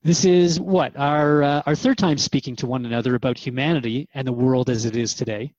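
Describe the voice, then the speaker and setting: A man's voice: medium pitch, fairly quick, darkish tone, no echo, muffled recording. A man hosting a radio interview program, conversational and reflective as he addresses listeners and his guest. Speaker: radio host.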